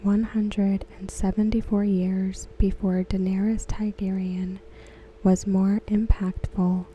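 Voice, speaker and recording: A woman softly reading aloud for ASMR in a breathy near-whisper, gentle and unhurried. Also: mid-range voice, flat delivery, slight background noise, low-quality recording. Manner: hushed, gentle, unhurried delivery. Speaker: ASMR creator. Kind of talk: soft-spoken ASMR read-aloud of written comments.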